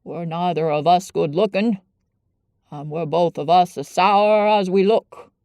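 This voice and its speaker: Lady putting on a grumpy old yorkshire gardener, slow and gravelly, dry sound. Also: on the low side, darkish tone, dry recording. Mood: gruff. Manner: slow, dialect-heavy, grumbling. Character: gruff old Yorkshire gardener, sour and blunt.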